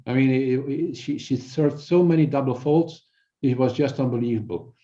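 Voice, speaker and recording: A middle-aged man with a Belgian accent explaining things as an interview guest, in a halting way with repeated words. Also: medium pitch, mellow. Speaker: guest, performance coach. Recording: dull, band-limited audio.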